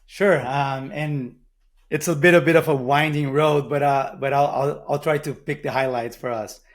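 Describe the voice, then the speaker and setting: Man, Brazilian accent, middle-aged, mid-range voice, interview guest, reflective, halting restarts. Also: mid-range voice, fairly even intonation. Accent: Brazilian Portuguese. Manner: thoughtful, with frequent restarts and ums. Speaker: guest, marketing executive. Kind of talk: interview answers, storytelling.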